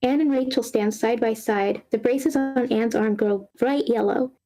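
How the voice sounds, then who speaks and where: A woman's voice: a little high, unhurried. A woman reading screenplay action lines aloud as the narrator of a live table read.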